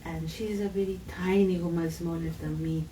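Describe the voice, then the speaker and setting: A woman's voice: fairly low pitch, steady tone, normal speed, warm tone, distant mic, a little hiss or hum, low-quality recording. A woman from Peru, guest in a casual conversation, speaking earnest, slightly broken English.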